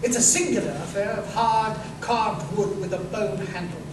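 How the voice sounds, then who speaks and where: An actor playing an incisive, self-assured detective in a live 1930s-style radio drama, speaking precisely and emphatically. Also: male voice, sits high, normal speed, close to an everyday voice. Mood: analytical. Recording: distant, echoey live stage recording, noisy room.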